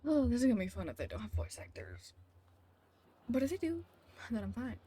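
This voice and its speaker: A woman's voice: on the high side, huge pitch swings, brisk, dark tone. A woman live-streaming a video game with casual commentary, playfully sarcastic as she talks back to chat and game.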